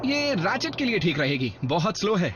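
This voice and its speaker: An actor playing an eager, cheeky young robot rookie in a cartoon dub. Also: male voice, high-pitched, sing-song, normal speed, background noise.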